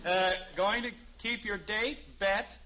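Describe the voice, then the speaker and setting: A man's voice: sits high, takes it slowly, sharp tone, heavily acted. An American actor playing an exasperated, wisecracking suburban father in a 1950s radio sitcom. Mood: coaxing. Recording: muffled, noisy vintage radio recording.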